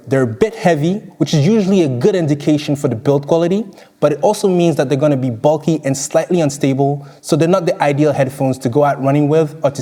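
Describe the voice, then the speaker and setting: Male product reviewer, scripted narration, mellow timbre, pitched a bit above average.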